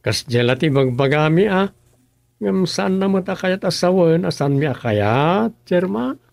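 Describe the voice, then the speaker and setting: A man's voice: high-pitched, expressive, unhurried, creaky, darkish tone, no room sound, exaggerated voice. An actor playing a dreamy, dozy village councilman in a rural comedy radio drama. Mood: earnest.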